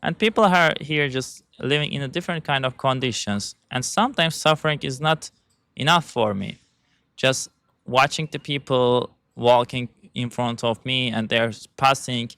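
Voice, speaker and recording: A man, talking in a bitter, halting vlog monologue with frequent restarts. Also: mid-range voice, a bit bright, clear, resonant tone, no echo, clean studio sound. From Turkey. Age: 20s. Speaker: YouTuber.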